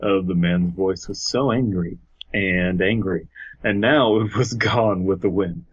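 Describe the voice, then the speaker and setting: A man's voice: low-pitched, a little slow, husky, lo-fi sound. A man co-hosting a podcast, reading a horror story aloud and mocking it with jokey, profane asides. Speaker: podcast co-host.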